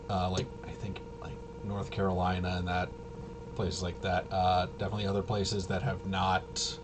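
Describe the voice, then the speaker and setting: A man chatting casually as a livestream host, halting, often interrupting himself and trailing off. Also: deep voice, noisy background. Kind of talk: casual rambling livestream chat.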